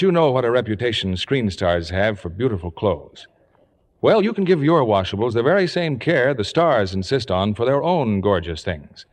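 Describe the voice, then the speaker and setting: A man announcing in scripted 1950s radio style, with a polished, emphatic sales delivery. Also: mid-range voice. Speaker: radio show host and announcer. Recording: echoey old radio studio stage.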